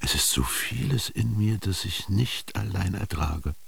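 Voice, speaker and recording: An actor in a radio drama playing a melancholy, yearning ancient Egyptian man recalling his youth, speaking lyrically in a gravelly, husky voice with lingering pauses. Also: low-pitched, expressive, exaggerated voice. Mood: aching, wistful. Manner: lyrical, brooding, with rhetorical questions and lingering pauses. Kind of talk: literary radio drama monologue.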